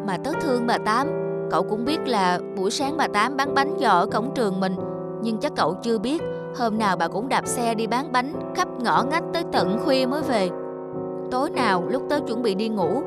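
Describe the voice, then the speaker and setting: A woman's voice: on the high side, lively intonation, normal speed, loud music behind the voice, close to an everyday voice. A woman voicing a chubby, caring schoolboy in a children's cartoon, earnest and a little scolding. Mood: earnest, reproachful.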